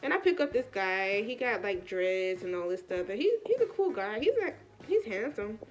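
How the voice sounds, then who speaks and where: A woman's voice: medium pitch, moderate pace. An American woman vlogger with a congested, stuffy voice, chatting casually through a personal story in colloquial speech and acting out the dialogue as she goes. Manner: colloquial, animated retelling with quoted dialogue.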